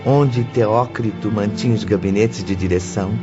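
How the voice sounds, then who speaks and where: A man acting as the measured, formal narrator of a spiritist audio drama. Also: on the low side, close to an everyday voice. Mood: solemn. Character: solemn male narrator of a spiritist audio novel. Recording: dull lo-fi audio with music behind. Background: clear background music.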